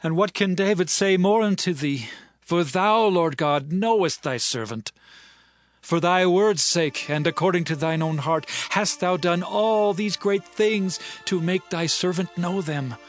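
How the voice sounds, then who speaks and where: King David speaks in a somewhat high, earnest voice. His lines come as fervent, humble declarations to his God. Faint music plays behind him in this audio drama. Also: unhurried. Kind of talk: dramatized scripture reading.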